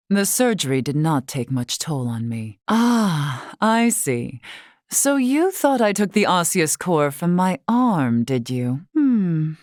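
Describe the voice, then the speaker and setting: Fantasy game elder woman, lowish pitch, formal and courtly, measured, wise, gently teasing, very dry studio sound. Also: no room sound, exaggerated voice. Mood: gently amused, then calm, guarded. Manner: formal, measured, archaic wording. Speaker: voice actress in a video game. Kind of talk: scripted game character dialogue.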